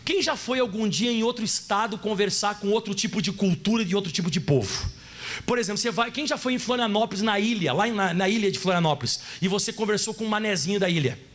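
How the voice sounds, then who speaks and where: A pastor from southern Brazil with a Gaúcho accent, preaching in an animated, playful way and putting questions to the congregation. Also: male voice, high voice, quick pace. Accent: Gaúcho Brazilian Portuguese. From Rio Grande do Sul, Brazil. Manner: animated, playful, acts out voices and asks the audience questions. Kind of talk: sermon.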